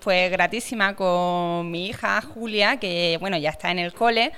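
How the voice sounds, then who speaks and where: A woman's voice: fairly low pitch, steady tone, a little slow, fairly bright tone, clean tone. A woman, a mother of two, speaking as a guest in a radio interview, rambling with enthusiastic praise. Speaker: parent guest.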